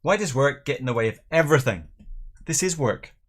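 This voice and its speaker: A man's voice: mid-range voice, lively intonation, a little fast. A middle-aged man, chatting casually and jokily as he hosts a live tasting show and reads out viewer comments. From Northern Ireland and England. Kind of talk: casual livestream chat, reading out viewer comments.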